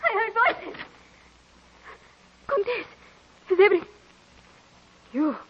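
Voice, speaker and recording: High swooping voice, young female companion, earnest, anxious, theatrical, dull vintage radio sound. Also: big pitch swings, slow pace, slight background noise, heavily acted. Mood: alarmed, horrified. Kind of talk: 1940s radio drama acting. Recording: dull old radio recording.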